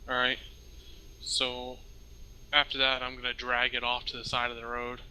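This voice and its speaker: Very bright, sharp-voiced man. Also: medium pitch, completely flat delivery, normal speed, clear, resonant tone, poor audio. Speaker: role-play game player. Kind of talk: casual in-character group chat.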